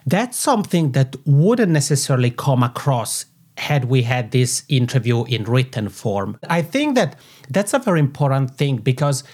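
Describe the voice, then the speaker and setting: A man's voice: mid-range voice, a little mellow. A man in his thirties from the Italian-speaking part of Switzerland, with a Swiss Italian accent, answering as a podcast guest in an eager, expansive way from his home office on a podcasting microphone. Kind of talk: conversational interview answers.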